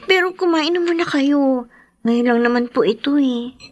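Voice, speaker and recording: Woman acting very high-pitched, meek Filipina teenage daughter. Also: moderate pace, lo-fi sound, natural voice. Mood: meek, caring. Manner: soft-spoken, deferential, hesitant. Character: meek, dutiful teenage daughter, caring and apologetic. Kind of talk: radio drama acting.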